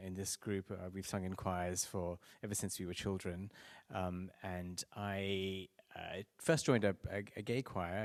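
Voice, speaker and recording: Middle-aged guy from singapore on a panel mic, lowish voice, lots of ums. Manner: hesitant, many fillers. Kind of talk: roundtable introduction. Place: hall with dark stage. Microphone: handheld mic.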